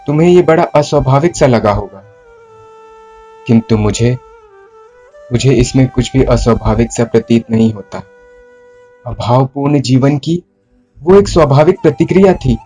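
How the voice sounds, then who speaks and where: A man acts out a remorseful poet in a stage monologue, his mid-range voice brooding and troubled. He speaks in formal, literary phrases, deliberately, with dramatic pauses, as flute music plays behind him. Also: medium pitch, darkish tone, natural voice. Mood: troubled, searching.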